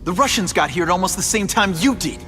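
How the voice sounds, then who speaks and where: A man's voice: high-pitched, animated, fast talker, pushed and bright, long reverb tail. An actor playing a wisecracking young superhero in a video game, teasing a thug as he questions him. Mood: wry. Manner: quippy and teasing. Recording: game audio over music.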